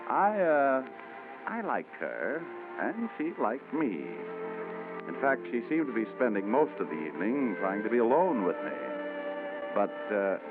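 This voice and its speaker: A wisecracking radio private eye. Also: male voice, medium pitch. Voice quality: wry, flowery wisecracks. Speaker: detective narrator. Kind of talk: 1940s radio acting. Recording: tinny old radio broadcast with music.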